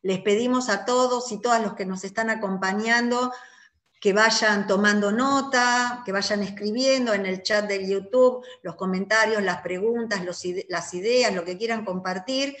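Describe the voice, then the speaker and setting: A woman introduces panelists at a measured pace, with fillers here and there. Her voice is mid-pitched. It sounds roomy and echoey, like a video call from a bare room. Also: a bit bright. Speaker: moderator. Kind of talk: introducing speakers at an online panel.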